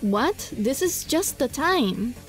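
High-voiced woman reading a visual novel aloud. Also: slow pace. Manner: expressive, dramatized read-aloud with giggly asides. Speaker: gaming video host. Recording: music behind the voice. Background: game music.

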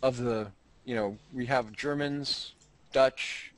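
A man's voice: mid-range voice, steady tone, slow pace, slight background noise. A man hosting a casual group video call, rambling and joking with many restarts. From United States. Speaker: host.

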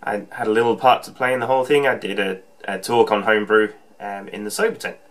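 Bright-voiced man rambling to camera. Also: on the low side, fairly even intonation, fast. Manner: rambling, many ums and restarts. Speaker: homebrew vlogger. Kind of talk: casual vlog chat. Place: small indoor room with shelves. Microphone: camera on desk, close framing.

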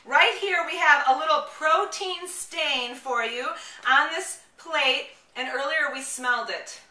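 A woman with a high, piercingly bright voice gives an enthusiastic home demonstration. She is chatty and casual, like a mom vlogger. The sound is roomy and very distant from the microphone. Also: measured pace. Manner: enthusiastic, animated, chatty with children. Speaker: mom blogger presenter. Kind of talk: casual product demonstration vlog. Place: home kitchen, indoors. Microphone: camera a few steps away.

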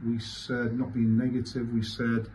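A man, answering as a football manager after a match in halting, repetitive, emphatic phrases. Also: medium pitch, monotone, darkish tone. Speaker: football manager giving a post-match interview. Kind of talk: post-match interview answers. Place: small indoor club press room. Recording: clearly noisy room ambience.

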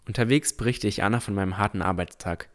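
Man as low-voiced, earnest boy adventurer. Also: quick pace, a bit bright, close to an everyday voice. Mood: reflective narration. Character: boy Noel, earnest, cautious young adventurer narrating his story. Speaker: narrator and character in an audio drama. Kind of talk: first-person scripted narration and dialogue.

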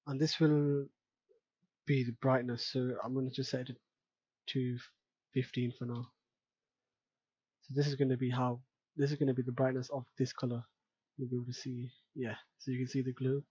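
A man giving an unscripted software tutorial in a casual way, thinking aloud step by step as he works. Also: mid-range voice, flat delivery, normal speed, pauses often, husky, no room sound, highs cut off. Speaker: tutorial presenter. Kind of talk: unscripted screen-recorded software tutorial narration.

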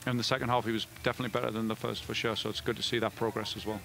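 A man's voice: fairly low pitch, flat delivery, vocal fry, fairly bright tone, a little hiss or hum. A middle-aged man, a soccer head coach, answering post-game questions on a headset mic and often restarting his sentences. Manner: fast, with frequent restarts and fillers. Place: indoor media room before a backdrop.

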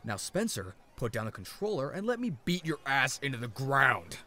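A man's voice: medium pitch, very animated, normal speed, bright, forceful tone. A man voicing an aggressive, foul-mouthed teenage gamer bully in an audiobook. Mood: taunting. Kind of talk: audiobook character acting.

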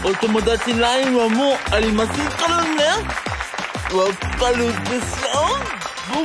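A flamboyant male quiz host acted by a woman in a radio drama. The voice sits high and swings widely as it teases contestants and milks the suspense, with music behind it. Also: expressive, a loud crowd. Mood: showy, brisk. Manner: playful, drawn-out suspense. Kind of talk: theatrical game show presenting.

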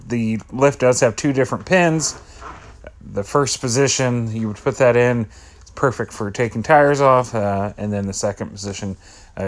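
A middle-aged man giving casual, plain-spoken voice-over commentary as a vlogger, sounding laid-back. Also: fairly low pitch.

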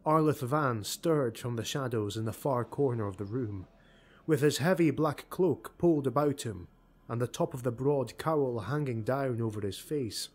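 A man narrating a dark fantasy audiobook in a measured, dramatic storytelling manner. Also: mid-range voice, husky.

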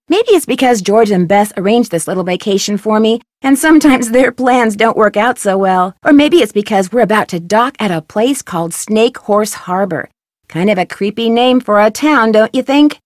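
Woman doing upbeat young girl detective, bright friendly tutorial voice, kinda high. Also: no room sound, clean studio sound, lightly acted. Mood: musing. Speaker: game narrator and guide. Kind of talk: video game voice acting.

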